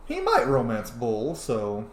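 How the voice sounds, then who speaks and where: A man's voice: mid-range voice, huge pitch swings, slow pace. Casual, slangy man in a roomy space. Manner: informal, slangy, sweary. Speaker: game streamer. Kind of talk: casual roleplay playthrough commentary. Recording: roomy echo, faint background noise.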